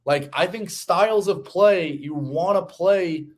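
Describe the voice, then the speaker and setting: Man in his thirties, slightly high voice, casual sports talk host, rambling delivery. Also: slow pace. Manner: rambling, conversational, occasional swearing. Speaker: sports betting show host.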